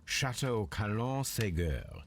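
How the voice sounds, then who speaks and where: An actor playing a shrewd, composed Victorian English detective in a video game, with a British accent and a measured, precise, formal manner. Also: male voice, lowish voice, sing-song, slight background noise. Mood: measured, analytical. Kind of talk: video game acting, observations spoken to himself.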